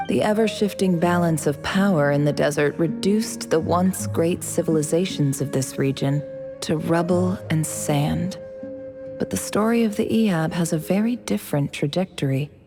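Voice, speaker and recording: Actress as a cool, low-voiced calculating envoy. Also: female voice, moderate pace, music in the background, lightly acted. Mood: courteous, persuasive. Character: cool, calculating young woman, persuasive scholar and envoy. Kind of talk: video game voice acting.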